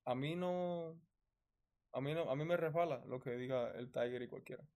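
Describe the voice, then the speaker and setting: A man's voice: mid-range voice, normal speed, a little mellow. A man with a Cuban accent chatting as a podcast guest, emphatic and sassy, often repeating himself. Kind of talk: casual chat.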